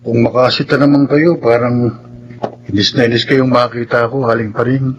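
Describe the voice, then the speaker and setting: A man's voice: mid-range voice, steady tone, normal speed, highs cut off, a little hiss or hum, natural voice. Guy doing a polite errand boy, kinda teasing, radio drama. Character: polite young errand man for the boss.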